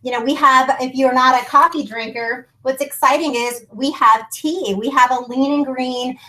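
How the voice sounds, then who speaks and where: A woman's voice: sits high, steady tone, moderate pace, pushed and bright, low-quality recording. A woman hosting a business call, giving an enthusiastic, informal sales presentation built on testimonials. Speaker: business conference call host and product presenter. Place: conference call.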